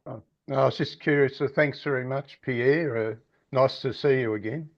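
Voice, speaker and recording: A man's voice: medium pitch, normal speed. A man, an audience member on a video call, asking a question in a chatty and wry way. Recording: dull lo-fi video call audio.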